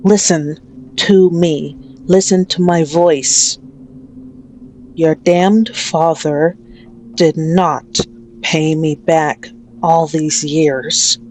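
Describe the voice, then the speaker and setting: A menacing female mafia boss, acted by a woman, speaks extremely slowly in a slightly low register. She is mocking, condescending and possessive, in the manner of an ASMR roleplay villain. There is noticeable noise and ambience behind her voice. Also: steady tone, darkish tone, rough recording. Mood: cold, vengeful.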